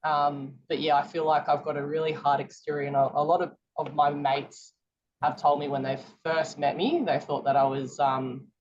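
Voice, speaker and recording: A woman co-hosting a podcast, speaking in an unhurried, thoughtful way with frequent "um"s. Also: low-pitched, flat delivery, a little mellow, slight background noise. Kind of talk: casual chat. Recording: dull lo-fi audio.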